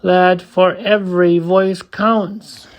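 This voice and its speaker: A man's voice: high voice, flat delivery, takes it slowly, pitched above the performer's own voice. A man voicing a tiny town's mayor in a children's storybook, urgent and rallying.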